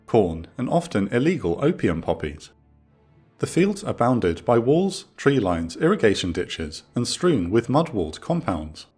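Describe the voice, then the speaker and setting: A man narrating a scripted military documentary in a measured, dramatic storytelling manner. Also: on the low side, darkish tone, slightly rough. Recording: music under the voice. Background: background music.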